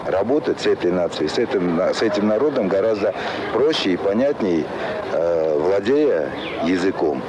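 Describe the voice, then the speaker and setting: Man, measured and reflective. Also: deep voice, animated. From Tajikistan. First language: Tajik. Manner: measured, reflective, with frequent pauses and fillers. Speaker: interviewee, philologist and translator. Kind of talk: thoughtful interview answers. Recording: noisy outdoor street recording.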